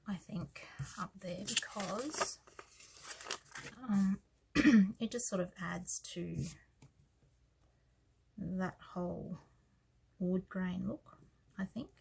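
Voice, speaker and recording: An Australian woman with a scratchy, croaky voice, chatting softly through her planner layout and trailing off into quiet asides. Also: mid-range voice. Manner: soft-spoken, musing, trails off into quiet asides. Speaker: planner vlogger. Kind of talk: casual planner walkthrough.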